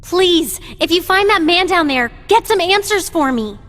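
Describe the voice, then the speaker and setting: A woman's voice: extremely high pitch, lively intonation, moderate pace, a bit bright. An actress playing a determined young woman in a video game, earnest and assertive, out to prove she has grown up. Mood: pleading. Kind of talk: English video game dub acting. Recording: echoey hall reverb.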